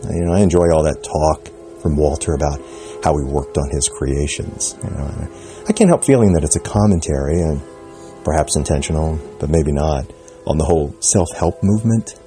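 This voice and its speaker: A man's voice: extremely low pitch, warm tone. A man giving spoken commentary on a TV episode, rambling and hesitant, with many fillers and restarts. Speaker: podcast contributor.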